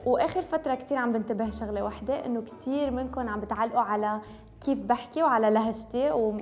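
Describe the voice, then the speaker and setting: A fast-talking young woman with a Tripoli Lebanese accent touched by Homs Syrian, chatting to camera with a slightly high voice. She grew up speaking French and mixes in English words. Soft music plays faintly behind her. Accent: Tripoli Lebanese Arabic with Syrian Homs touches. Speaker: beauty vlogger. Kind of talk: casual chat to camera.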